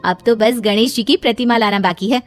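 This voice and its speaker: A woman's voice: fairly high pitch, fairly quick, no room sound, faint music behind, lightly acted. A woman voicing a young, dutiful daughter-in-law in a cartoon story, earnest and chatty. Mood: eager. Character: young devout daughter-in-law, dutiful but reluctant worker.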